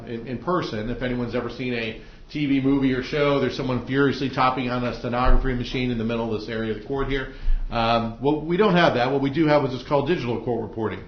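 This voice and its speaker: A man's voice: medium pitch, fast talker. Casting: American man as judge, hesitant with many uhs, explaining procedure, echoey and muffled courtroom audio. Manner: hesitant, frequent ums and uhs. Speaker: judge presiding over jury selection. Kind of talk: semi-formal courtroom instructions to jurors. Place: indoor courtroom. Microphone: room microphones in the ceiling. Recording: dull, echoey courtroom microphone pickup.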